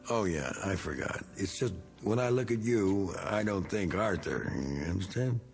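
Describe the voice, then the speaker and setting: An actor playing a needling, bitter middle-aged American war veteran with folksy, slangy talk in a vintage TV drama. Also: male voice, sits low, lively intonation, normal speed, soft background music. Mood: needling, affable. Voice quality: gravelly. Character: needling, bitter male war veteran, rough working man. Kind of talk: 1960s television drama acting.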